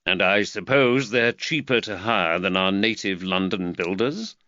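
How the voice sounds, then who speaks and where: A man voicing a polite, measured detective with a dry wit, close to his own voice. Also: fairly low pitch, pushed and bright, no echo, muffled recording. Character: calm, dry-witted young male detective.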